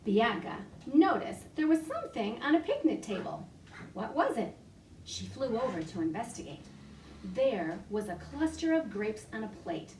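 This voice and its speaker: A woman librarian reading a picture story aloud to young children from her home, animated and full of gasps. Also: fairly high pitch, moderate pace, distant mic, slight background noise. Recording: echoey room, voice off-mic.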